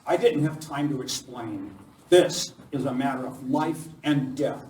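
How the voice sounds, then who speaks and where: A man's voice: medium pitch, measured pace, mellow, lightly acted. A man playing a heroic, resolute masked cowboy lawman in a live radio western. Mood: firm, reassuring. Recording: distant mic in echoey hall, faint noise.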